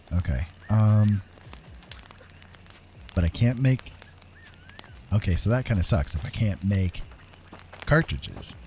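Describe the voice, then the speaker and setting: A man's voice: deep voice, warm tone. A man doing casual live gameplay commentary, musing and thinking aloud in a rambling, self-directed way. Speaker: game streamer. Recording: clear background game noise. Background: video game sounds.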